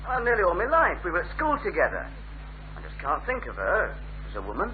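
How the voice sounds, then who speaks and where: An actor playing a reluctant, exasperated young best friend with a North East English accent in an old radio sitcom. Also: male voice, high-pitched, wildly swooping pitch, brisk. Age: young adult. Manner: hesitant, protesting. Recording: tinny, noisy old radio recording.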